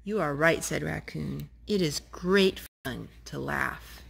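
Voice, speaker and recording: A woman reading children's picture books aloud in a gentle, animated storytime voice, stopping to talk with the children. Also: low-pitched, slow, creaky, slight background noise. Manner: gentle, animated read-aloud voice, talking to the children between pages. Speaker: storytime reader.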